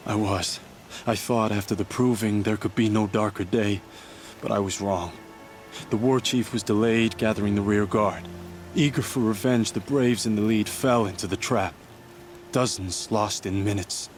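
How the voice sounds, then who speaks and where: Man acting a weary, low-voiced wounded warrior. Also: steady tone, normal speed, faint music behind, lightly acted. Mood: grim recounting. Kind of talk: dramatic video game cutscene acting.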